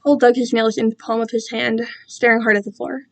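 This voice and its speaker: A woman giving an expressive, amateur dramatic reading of a story. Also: a little high, brisk, creaky. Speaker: amateur fan fiction reader.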